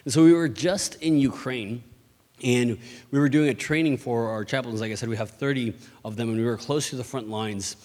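A man from Mexico gives an earnest church missions presentation, often restarting and repeating words as he goes. His voice sits at a middling pitch and carries a long reverb, as if it is filling a large hall. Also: normal speed, darkish tone. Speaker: missions ministry leader.

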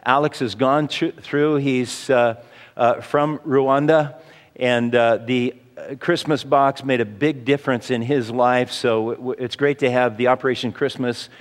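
Halting pastor's voice in an echoing hall. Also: male voice, mid-range voice, fairly even intonation. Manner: halting, with many fillers. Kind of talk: introducing a guest speaker in church. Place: church hall. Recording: long reverb of a hall.

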